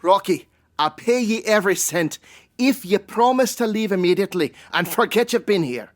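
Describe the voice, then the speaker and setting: A flustered Scottish con man played by a woman, stammering and evasive. The delivery is lively and swings around as the character wheedles through a comedy audio drama. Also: medium pitch, moderate pace, close to an everyday voice. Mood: placating. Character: shifty, flustered Scottish swindler man feigning convalescence.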